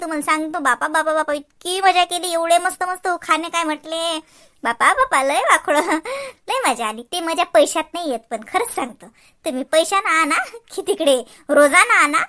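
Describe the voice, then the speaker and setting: A woman plays a cartoon daughter-in-law with a very high, girlish voice and a crisp, bright tone. She is chatty and slyly mocking, repeating phrases for effect in a rural Varhadi Marathi accent from Vidarbha. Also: exaggerated voice. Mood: gleeful, teasing. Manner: mocking, repetitive, gossipy. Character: sarcastic, chatty young village daughter-in-law, busy housewife. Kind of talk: exaggerated cartoon comedy acting.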